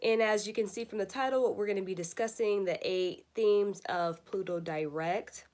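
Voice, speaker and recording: A woman's voice: mid-range voice, normal speed, a little mellow. A woman hosting a casual spiritual livestream, a little congested, talking straight to her viewers. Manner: chatty, addresses viewers directly. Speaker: astrology livestream host. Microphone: phone or webcam, live stream.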